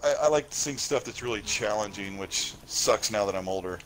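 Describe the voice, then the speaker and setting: A middle-aged man, a podcast guest, chatting casually, enthusiastic and quick to laugh. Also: fairly low pitch, a bit bright, faint music behind, rough recording. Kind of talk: casual chat.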